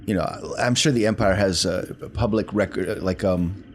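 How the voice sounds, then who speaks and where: Low-voiced man playing a tabletop game. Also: normal speed. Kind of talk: casual chat, describing character actions. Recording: clear background noise.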